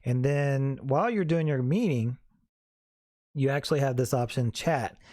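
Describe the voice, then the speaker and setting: Casual man with a mellow, dry sound. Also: mid-range voice, normal speed, dry recording. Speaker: host of a how-to tutorial. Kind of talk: casual, unscripted software tutorial.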